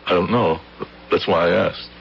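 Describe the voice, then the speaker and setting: A measured, deep-voiced professor. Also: male voice, steady tone, gravelly, slight background noise. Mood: probing. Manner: measured, deliberate, formal. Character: probing, controlled older male professor and hypnotist. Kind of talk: 1970s radio drama acting. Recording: dull vintage radio recording. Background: music.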